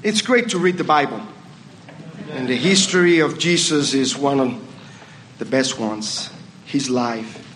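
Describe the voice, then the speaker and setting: A man's voice: medium pitch, measured pace, pauses often, a bit bright, slight background noise. A man with a Puerto Rican accent giving a reflective communion meditation at a church service and asking the congregation questions. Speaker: communion speaker.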